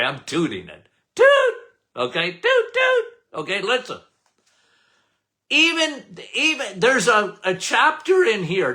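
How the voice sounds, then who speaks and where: An older man from Canada hosting a podcast, loud and emphatic, with a habit of repeating "okay?". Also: sits high. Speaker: podcast host. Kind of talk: casual live talk.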